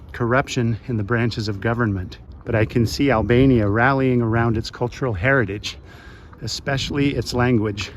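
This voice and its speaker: A man in his late fifties from the west coast of the United States, narrating a travel vlog in a measured, reflective way. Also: mid-range voice, slight background noise. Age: 50s. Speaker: travel vlogger. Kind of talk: scripted voice-over narration.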